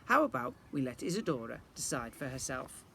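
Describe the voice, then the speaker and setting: A man voicing a gentle, encouraging fairy mother in a children's storybook, reassuring and gushing. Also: medium pitch, sing-song, fairly quick, gravelly, heavy background noise. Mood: doubtful, decisive.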